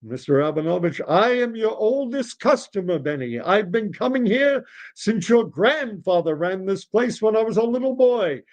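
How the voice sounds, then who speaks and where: A man's voice: high-pitched, very animated, moderate pace, a little mellow, voice pushed up. A man playing a stubborn, demanding old male deli customer in a joke, insisting and repeating himself demonstratively. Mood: insistent.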